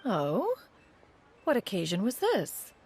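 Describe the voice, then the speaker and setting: An actress playing a dignified, haughty ancient sage in a video game, speaking in a stately, archaic manner. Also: female voice, mid-range voice, wildly swooping pitch, slow pace, darkish tone, faint music behind, exaggerated voice. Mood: gracious. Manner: stately, archaic, says "one" for herself. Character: dignified, haughty, ancient female sage living among humans.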